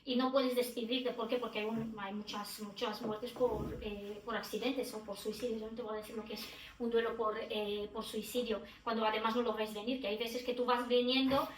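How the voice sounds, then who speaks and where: A middle-aged woman talks reflectively on a podcast, often pausing and restarting her sentences. She sits some distance from the mic in an echoey room. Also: fairly high pitch, level tone. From Romania. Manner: reflective, many restarts and fillers. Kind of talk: podcast conversation.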